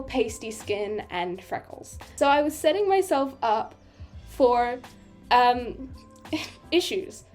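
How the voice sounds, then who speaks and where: A young woman with an Australian accent and a fairly high voice talks loosely and warmly about her work, poking fun at herself and breaking into little laughs. Soft music sits faintly underneath her narration. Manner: chatty and self-deprecating, laughing often between thoughts. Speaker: digital artist. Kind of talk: casual voice-over commentary over a speedpaint. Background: faint background music.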